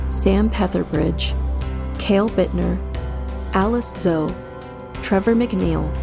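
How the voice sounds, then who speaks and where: A woman announcer reading scripted podcast credits in a measured way. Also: medium pitch, gravelly. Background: prominent music.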